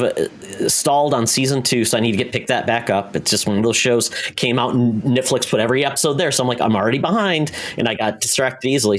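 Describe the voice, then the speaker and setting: Casual male podcast co-host, mid-pitched. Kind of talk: casual entertainment news chat.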